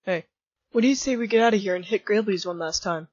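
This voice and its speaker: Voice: medium pitch, quick pace, no echo, lightly acted. An actor voicing a laid-back, joking male skeleton monster in a comic dub, talking in a casual, easygoing way. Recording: dull lo-fi audio with faint music.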